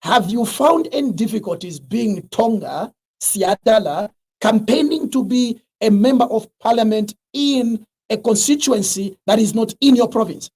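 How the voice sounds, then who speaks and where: A man's voice: sits high, animated, normal speed, few pauses, darkish tone, husky. A man with a Zambian accent interviewing a candidate, asking direct, challenging questions and mixing in local-language phrases.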